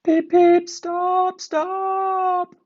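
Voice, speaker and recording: A cheeky little train engine from a children's storybook. The voice is a squeaky falsetto pitched far up, excitable and exclamatory, on a slightly dull recording. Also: male voice, drawn-out words, exaggerated voice. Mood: panicked.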